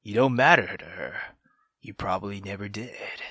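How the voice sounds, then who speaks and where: A man's voice: fairly low pitch, fairly bright tone, no echo, studio quality, pitched below the performer's own voice. Man as a whispery, sinister possessive mother. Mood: cold, coaxing. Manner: slow, whispery, coaxing menace. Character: sinister possessive Mother, coldly manipulating her child.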